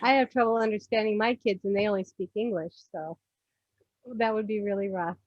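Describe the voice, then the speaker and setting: A middle-aged woman teaching a class, relaxed and questioning, drawing students into discussion. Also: medium pitch, a little fast, no echo, lo-fi sound. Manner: relaxed, questioning, laughs often. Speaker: instructor.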